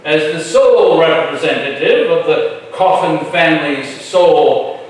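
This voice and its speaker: Male actor as proud colonial gentleman, stage reading: fairly high voice, formal declamation, very echoey and distant. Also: unhurried, lightly acted. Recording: echoey room, far from mic.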